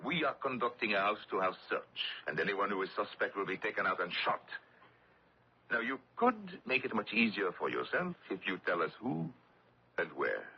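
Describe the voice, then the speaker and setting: An actor playing a sarcastic, commanding French collaborationist officer who menaces prisoners in a radio drama. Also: male voice, lowish voice, very animated, normal speed, slight background noise. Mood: sarcastic, menacing. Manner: commanding, sneering. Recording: dull lo-fi old radio recording.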